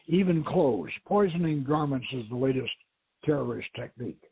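A man voicing a brisk, cautious treasure hunter with a terse, commanding manner. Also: medium pitch, animated, creaky, husky, exaggerated voice. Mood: brisk, wary. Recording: tinny band-limited radio stream, roomy echo.

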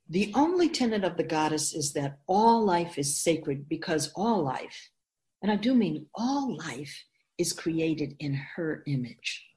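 Radio drama: ageless female keeper of souls an actress, wise and instructive, deliberate pauses, lower register with animated melody. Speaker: teacher figure in a radio drama. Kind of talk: scripted radio drama, explanatory dialogue.

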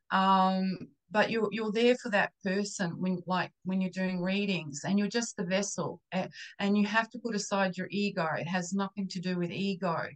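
Australian woman, halting casual interview talk. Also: mid-range voice, low-quality recording. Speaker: interview guest, psychic channeler and Reiki therapist.

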